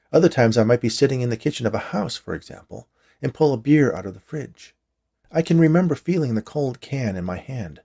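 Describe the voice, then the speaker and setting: A man narrates a first-person short story in a low voice with plenty of creak. The delivery is scripted storytelling, read by the author himself. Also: darkish tone.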